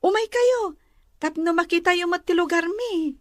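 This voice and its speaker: A woman's voice: extremely high pitch, moderate pace, no echo, clean studio sound. A woman playing a polite, eager young student from the countryside in a radio drama. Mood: eager, grateful. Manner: deferential, eager.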